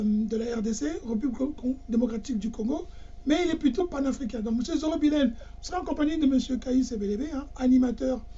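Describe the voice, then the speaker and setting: A man's voice: very high-pitched, warm tone, breathy edge, highs cut off. A man hosting an interview, with hesitant, stammering restarts. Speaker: radio and TV host.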